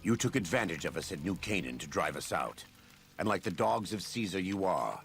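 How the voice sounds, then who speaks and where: An actor playing a stern, vengeful warrior preacher in a video game, with solemn, deliberate biblical delivery. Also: male voice, deep voice, sing-song, gravelly, a bit bright, slight background noise, heavily acted. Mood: righteous wrath.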